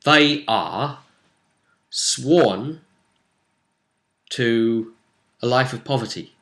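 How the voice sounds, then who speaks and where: Guy running a tabletop rpg, bright punchy voice, chatty rambly recap, does the characters' lines as he goes. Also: medium pitch, expressive, drawn-out words. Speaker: game master. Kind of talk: casual recap of a tabletop role-playing session.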